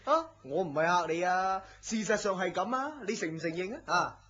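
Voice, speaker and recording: A man's voice: high-pitched, brisk, exaggerated voice. A man with a Hong Kong Cantonese accent playing a blunt, teasing friend who fusses and scolds in a radio drama. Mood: teasing concern. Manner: exasperated, scolding, animated sighing delivery. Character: blunt, teasing, fussing male friend and co-worker. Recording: dull lo-fi radio drama audio.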